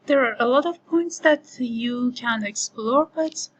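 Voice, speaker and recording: A woman whose first language is Farsi, slowly walking through a software screen as an online course instructor, thinking aloud with many pauses and fillers. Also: a little high, lo-fi sound. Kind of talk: screen-recorded tutorial walkthrough.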